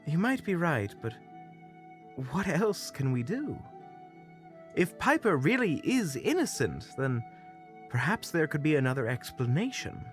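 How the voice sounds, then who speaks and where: A man acts out an earnest, cautious hunter who plans things out in an audio drama. He speaks slowly and formally, with very expressive pitch, over faint music. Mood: thoughtful. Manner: measured, formal, deliberate. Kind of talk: audio roleplay acting.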